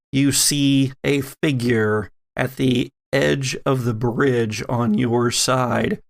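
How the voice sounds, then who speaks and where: Slow, gravelly male game master. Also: medium pitch. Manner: deliberate, dramatic pauses. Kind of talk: atmospheric tabletop horror narration.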